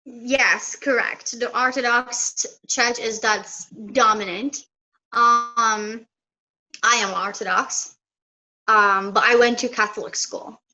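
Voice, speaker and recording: A woman's voice: on the high side, fairly even intonation, takes it slowly, pushed and bright, poor audio. A young woman from Ethiopia, an Amharic speaker, chatting earnestly as an interview guest. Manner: open and earnest, light laughs. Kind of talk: casual interview chat.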